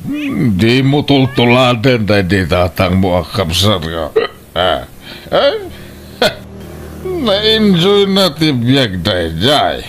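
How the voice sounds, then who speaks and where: A man's voice: medium pitch, huge pitch swings, slow pace. A Filipino man playing a pushy, middle-aged, pleasure-loving uncle in a comedic radio drama, with a blustering, scoffing manner. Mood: boisterous, mocking. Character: pushy, carousing uncle who loves drink and fun. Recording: noisy radio drama track.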